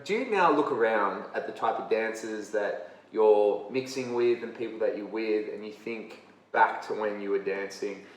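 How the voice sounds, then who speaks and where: Relaxed Australian man, lowish voice, roomy. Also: moderate pace. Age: 20s. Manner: laid-back, rambling questions with "you know" and "yeah". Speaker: podcast host. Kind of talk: casual interview chat. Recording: roomy sound from a distant mic.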